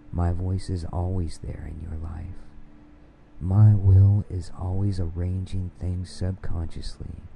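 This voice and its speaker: A man delivering a scripted domination hypnosis monologue in a commanding, domineering, deliberately repetitive manner. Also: low-pitched, creaky, dark tone, a little hiss or hum, poor audio.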